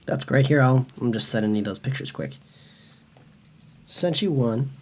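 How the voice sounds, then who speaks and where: A man's voice: medium pitch, expressive, moderate pace, a little mellow. A man co-hosting in casual, informal chat. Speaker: co-host.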